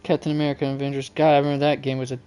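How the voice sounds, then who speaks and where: A livestreamer chatting casually and reading game text aloud, often musing and thinking out loud. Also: medium pitch, flat delivery, rapid-fire, faint music behind. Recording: clearly noisy background.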